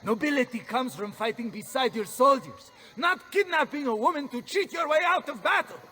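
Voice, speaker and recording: An actor playing a blustering, hot-tempered Italian mercenary general in a video game, with an Italian accent and a bombastic, theatrical manner. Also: male voice, very high voice, animated, moderate pace, sharp tone, slightly rough, far from the mic, exaggerated voice. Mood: furious, defiant. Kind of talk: dramatic video game acting.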